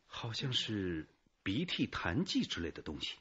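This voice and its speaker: Low, forceful, keen police investigator. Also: male voice, big pitch swings, a little slow, lo-fi sound, slight background noise, full character voice. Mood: alert. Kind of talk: Chinese radio crime drama acting.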